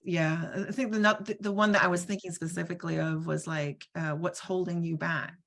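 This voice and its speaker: A woman with a somewhat low voice talks through her thoughts in an online roundtable. She circles back often, with many fillers and restarts. The sound comes through a video call. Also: steady tone, fairly quick, creaky, fairly bright tone. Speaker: roundtable speaker. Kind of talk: online panel discussion.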